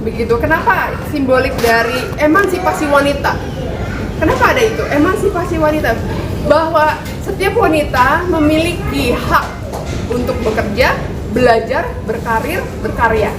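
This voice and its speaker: A woman's voice: high voice, expressive, moderate pace. A woman leading a women's community, speaking to the press at an event in a chatty, playful, speechmaking way. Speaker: arisan community leader. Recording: echoey, distant, loud crowd noise.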